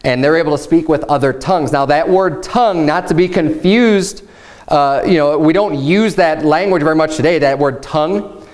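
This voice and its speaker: A man in his thirties preaching a Baptist sermon at a pulpit, reading scripture slowly and rising to emphatic highs as he explains it. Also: darkish tone. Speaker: pastor. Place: church room, standing at a pulpit.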